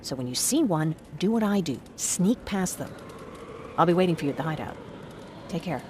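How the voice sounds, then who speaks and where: A woman's voice: deep voice, lively intonation, normal speed, noisy background. A woman voicing a streetwise, wry and wary young scavenger in a game. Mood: instructing. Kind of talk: game voice acting, companion dialogue.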